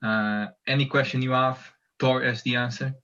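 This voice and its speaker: A man's voice: medium pitch, steady tone, normal speed, sharp tone, lo-fi sound. Enthusiastic man with a Portuguese accent. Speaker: community member introducing himself. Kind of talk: casual video call chat.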